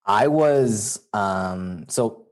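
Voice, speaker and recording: Chatty young man, lowish voice. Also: extremely slow. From Canada. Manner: relaxed, chatty storyteller, many likes and you-knows. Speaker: podcast guest. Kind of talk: casual interview chat.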